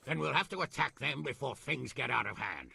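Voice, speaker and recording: An actor playing a gruff, hot-tempered medieval lord in a game, with a raspy, gravelly voice and blustery manner. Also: male voice, medium pitch, animated, brisk, sharp tone, heavily acted. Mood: gruff, forceful. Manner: blustery, sardonic. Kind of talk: video game cutscene acting.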